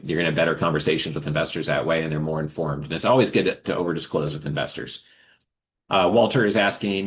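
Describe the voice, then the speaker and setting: A man hosting a live forum, answering questions in a casual, conversational advisory style and explaining with examples. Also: low voice. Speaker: host of a live forum for investors and founders.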